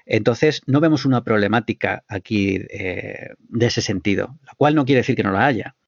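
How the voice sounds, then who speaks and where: A man from Spain with a Spanish accent, a guest on a podcast, talking in a measured, thoughtful way with many hesitations. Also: on the low side, creaky, breathy edge, no echo. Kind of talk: casual podcast conversation. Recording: dull, muffled dry audio.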